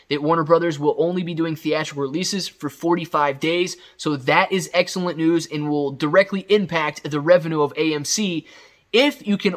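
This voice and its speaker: A man hosting a casual, off-the-cuff news recap, talking straight to viewers in an emphatic, enthusiastic, conversational way. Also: on the high side. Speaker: video host recapping company news.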